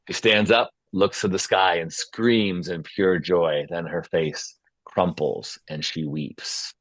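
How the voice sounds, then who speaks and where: A man's voice: sits low, steady tone, takes it slowly, a bit bright, no echo, rough recording. A man speaking as host and narrator of an informal script table read. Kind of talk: stage-direction reading and casual feedback discussion.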